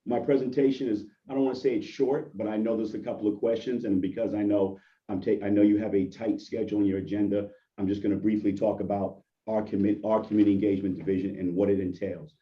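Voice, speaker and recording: A hoarse man, a deputy police chief, giving an earnest presentation on a video call. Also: fairly low pitch, steady tone, fast talker. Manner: earnest, appreciative, restarts often. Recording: distant muffled video call audio.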